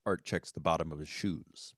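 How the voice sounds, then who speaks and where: A man's voice: rumbling low, fairly even intonation, normal speed, dry recording, clean studio sound. A man reading out stage directions as scripted narration at a table read of a screenplay.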